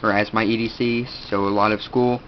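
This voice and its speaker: A man's voice: on the low side, flat delivery. A teenage boy with a stuffed-up nose, casually showing his kit and rambling with lots of fillers. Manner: rambling, halting, many fillers. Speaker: video host. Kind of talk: casual gear show-and-tell. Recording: dull lo-fi audio, faint room noise.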